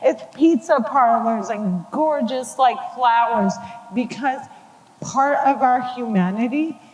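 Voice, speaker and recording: Woman on a panel, talks slow and emphatic, big reverby hall. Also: on the high side, darkish tone. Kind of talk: town hall discussion.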